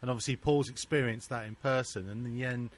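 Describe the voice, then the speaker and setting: A man's voice: mid-range voice, fairly even intonation, fast. Man asking a rambling question. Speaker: interviewer. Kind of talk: casual interview.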